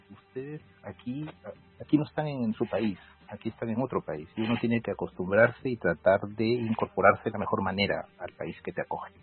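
A man in his forties from Peru with a Peruvian accent, talking reflectively as a law professor guest in an interview. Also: lowish voice. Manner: measured, reflective, many fillers. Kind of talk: casual interview. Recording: phone-like call audio.

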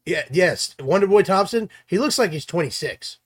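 A man's voice: high voice, expressive, moderate pace, slightly rough. A man co-hosting a casual sports chat, enthusiastic and hedging as he corrects himself. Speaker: co-host picking fights.

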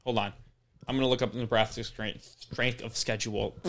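A young man co-hosting a casual sports talk show, relaxed and good-humored while defending his side. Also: mid-range voice, moderate pace. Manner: easygoing, defends his side, laughs often. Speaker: sports podcast co-host.

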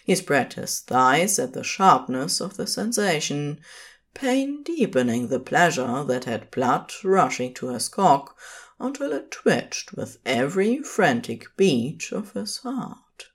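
A woman's voice: low-pitched, very animated, slow, husky. A woman reading scripted fiction as the narrator of an audio story, in a dramatic storytelling style.

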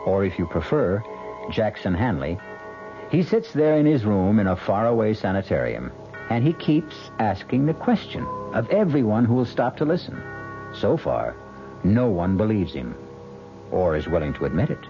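A man's voice: on the low side, very animated, normal speed, mellow. An American man hosting a radio drama, narrating in a theatrical, portentous manner. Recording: muffled vintage radio broadcast. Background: music.

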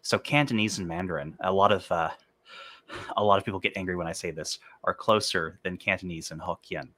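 Deep, forceful man in a dry room. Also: steady tone, fairly quick, no echo. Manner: enthusiastic, explanatory, hedges his opinions. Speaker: podcast host. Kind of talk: casual conversation.

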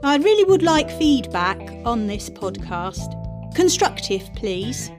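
A woman with a fairly high voice and very animated intonation, hosting a podcast of readings. She speaks articulately, with theatrical emphasis, over some music. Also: takes it slowly. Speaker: podcast host reading aloud. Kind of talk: dramatic reading of an essay, then informal podcast chat.

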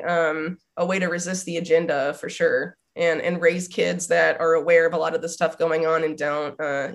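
A woman in her thirties, slightly nasal from a cold, talking as a guest in a rambling way with lots of fillers. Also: on the low side. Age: 30s. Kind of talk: casual conversation.

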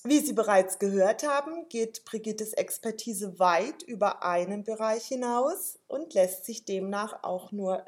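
A woman's voice: mid-range voice, darkish tone. A woman who reads a formal introduction and then interviews her guest. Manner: formal, carefully read. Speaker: podcast host.

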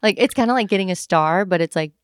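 Young woman, animated storyteller, low smooth voice, dry close sound. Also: fast, no echo. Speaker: guest telling travel stories. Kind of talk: casual chat.

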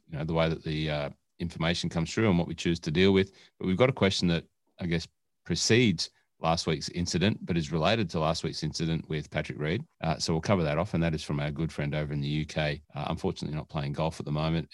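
A man's voice: rumbling low, dry recording, clean studio sound. An Australian man co-hosting a casual podcast, chatting in a rambling, jokey way that often digresses. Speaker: podcast co-host. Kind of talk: casual chat.

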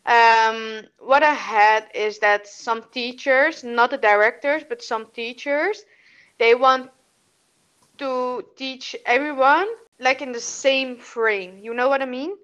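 A woman's voice: on the high side, a bit bright, clean tone, lo-fi sound. A woman whose first language is Dutch, speaking English with a Dutch accent, answering interview questions in a hesitant way with many restarts. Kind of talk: casual conversational interview.

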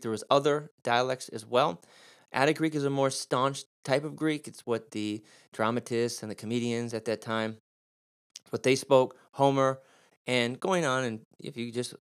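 A man from Michigan with a Midwestern American accent, teaching about biblical Greek in an earnest, casual way, often repeating words and using fillers. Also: medium pitch. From Michigan, USA. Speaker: Bible teacher.

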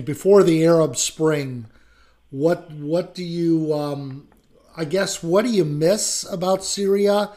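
A man who teaches college classes and hosts an interview, talking in a relaxed, chatty way with plenty of fillers. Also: a little high. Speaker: host and college instructor. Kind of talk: casual interview.

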